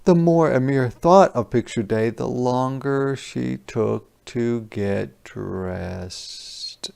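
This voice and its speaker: A man reads a children's story aloud like a teacher. His pace is slow and his mid-pitched voice has a creaky, gravelly edge. He stops to explain words in simple terms. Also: darkish tone. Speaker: teacher reading a picture book aloud.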